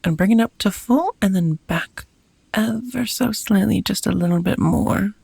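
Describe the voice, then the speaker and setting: A woman playing a gentle, courteous female vampire roommate in an intimate ASMR roleplay, speaking in a tender, softly formal, breathy half-whisper. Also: mid-range voice, moderate pace, dry recording. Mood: patient, amused.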